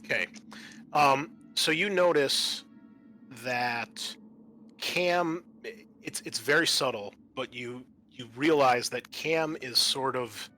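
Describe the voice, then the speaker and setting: A man narrating a tabletop roleplaying game as game master, pausing often mid-sentence. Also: mid-range voice, bright, forceful tone, soft background music.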